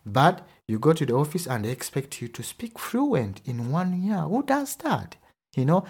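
Ugandan man, Luganda first language, husky mid-pitched voice, earnest and emphatic. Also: a little mellow. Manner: earnest, emphatic, with hesitant restarts. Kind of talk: podcast conversation.

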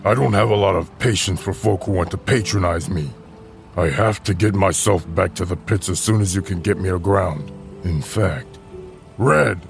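A man's voice: deep voice, moderate pace, gravelly, soft background music. A man reading the lines of a surly male half-ogre who grunts and grumbles, in an audiobook. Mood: impatient.

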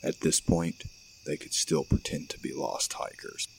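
A man's voice: measured pace, poor audio. A man reading scripted narration for a horror audio play, with night insects behind him. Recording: faint background noise.

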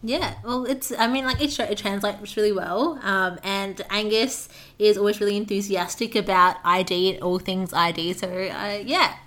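A woman's voice: medium pitch, steady tone, normal speed, a bit bright. A young woman with an Australian accent, hosting a podcast in a casual, chatty style. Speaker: podcast host. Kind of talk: casual chat.

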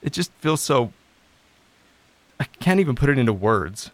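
A man acting the part of a lonely, self-doubting recovery coach in an audio drama, halting and introspective. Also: medium pitch, sing-song, no room sound, natural voice. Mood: despairing.

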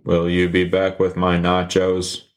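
A man voicing a deadpan, literal-minded killer who talks in a flat, robotic monotone. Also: sits low, unhurried. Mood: flat menace.

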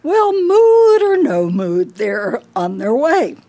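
Casting: woman to play bossy older American wife in radio play, fussy and scolding, slightly raspy, lively pitch swings. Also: takes it slowly, full character voice. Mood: weary, bickering.

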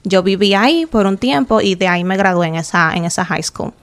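A young woman from the Dominican Republic talks with a Dominican Spanish accent as a guest in a radio studio. Her voice is smooth and clear at a middle pitch. She speaks earnestly and reflectively, pausing often on fillers. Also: animated. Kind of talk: radio interview.

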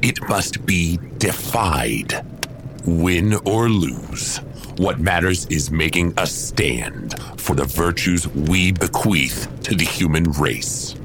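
A stern, superhuman warlord in an audio drama. His voice is extremely low and full of gravel, and he delivers grave judgment slowly and solemnly, like a commander holding court. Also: expressive, background noise, heavily acted. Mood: solemn conviction. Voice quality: very deep, gravelly. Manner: slow, solemn, weighty oratory.

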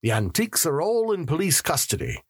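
A man voicing a smarmy, pompous middle-aged mayor who speaks slowly and deliberately. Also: a little high, wildly swooping pitch, husky, no room sound, studio quality, pitched above the performer's own voice, full character voice.

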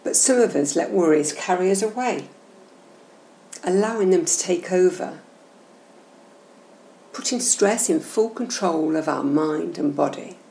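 A woman guiding a meditation session in a soothing, gentle, measured and instructional way. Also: lowish voice, animated, vocal fry. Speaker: guided meditation host. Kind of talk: slow, soothing guided meditation narration. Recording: roomy echo, faint background noise.

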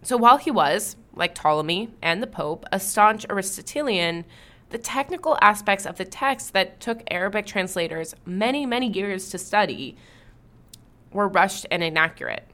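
A woman narrates a history podcast at a middle pitch. She reads from a script in a clear, even storytelling way. Now and then she turns playful with her co-host. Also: moderate pace.

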